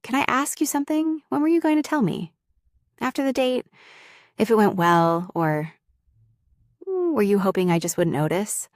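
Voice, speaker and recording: A woman playing a lovesick, jealous young roommate in an intimate ASMR roleplay, speaking haltingly and confessionally, straight to the listener. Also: medium pitch, lively intonation, dry recording, studio quality, close to an everyday voice. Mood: hurt, probing.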